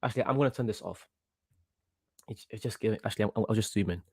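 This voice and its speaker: A young man from Portugal, about eighteen, hosting a casual football watchalong stream in an excitable, reactive way and often repeating himself. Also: fairly low pitch, fast, breathy edge, no echo, clean studio sound. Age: young adult, about 18. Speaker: livestream host.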